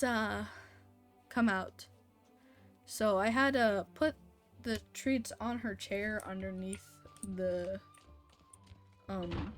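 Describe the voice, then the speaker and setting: A woman live streamer chatting casually while gaming, hesitant and thinking aloud as she talks to viewers. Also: medium pitch.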